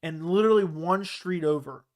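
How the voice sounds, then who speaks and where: A man's voice: a little high, moderate pace. A young man with a Baltimore accent, hosting a live chat and answering viewer comments in a loose, rambling way. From Baltimore area. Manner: loose, rambling, with fillers and restarts. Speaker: host of a live chat show.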